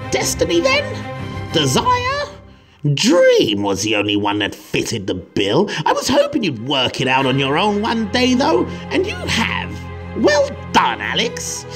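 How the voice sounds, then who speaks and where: A man's voice: fairly high pitch, exaggerated voice. A man playing an imperious, scornful and smug old cult leader in a theatrical comic-book drama.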